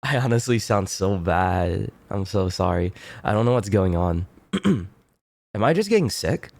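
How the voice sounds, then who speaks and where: Low-voiced, stuffy-nosed man chatting. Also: expressive, a little slow, darkish tone. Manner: laid-back, rambling, swears casually, keeps clearing throat. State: congested, stuffy nose. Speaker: streamer. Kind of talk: casual chat with viewers.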